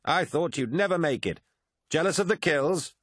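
A swaggering, bitter warrior of an elite order acted by a male narrator, pitched well up and haughty. He speaks with emphatic, petulant pride, as in a dramatic sci-fi audiobook, in a dry recording. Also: lively intonation, moderate pace, a bit bright, no echo. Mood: cocky.

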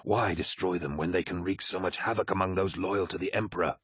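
A pragmatic warrior lieutenant, voiced by a male reader, cautious and questioning. The audiobook delivery is low and measured, with a dry, close sound. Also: steady tone, fairly bright tone, slightly rough, no room sound, exaggerated voice. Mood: wary, reasoning. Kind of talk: dramatic audiobook character acting.

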